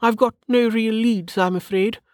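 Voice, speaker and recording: A woman's voice: a little high, steady tone, normal speed, darkish tone, no room sound, clean studio sound, pitched above the performer's own voice, close to an everyday voice. A woman voicing a prim, snobbish noblewoman in an audiobook, with a testy, proper manner. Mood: casual, prying. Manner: haughty, sniffing, proper. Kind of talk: audiobook character acting.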